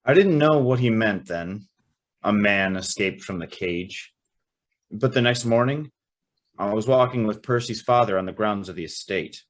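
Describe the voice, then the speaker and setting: An actor playing a polite, curious young house guest in a radio drama, speaking in a mild, tentative way. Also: male voice, lowish voice, normal speed, natural voice. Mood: reflective narration. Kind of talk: old-time radio drama acting with narration.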